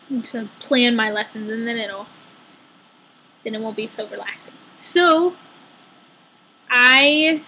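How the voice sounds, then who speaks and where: Very high-pitched young woman telling stories. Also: rough recording. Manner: animated, exaggerated retelling with quoted asides. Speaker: storytelling vlogger. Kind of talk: casual personal storytelling.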